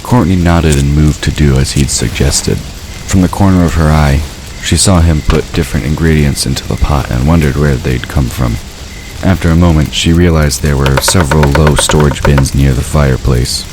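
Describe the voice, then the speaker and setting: A man's voice: extremely low pitch, normal speed. A man narrating a scripted story as the narrator of an audio drama. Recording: clear background ambient noise. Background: ambient sound effects.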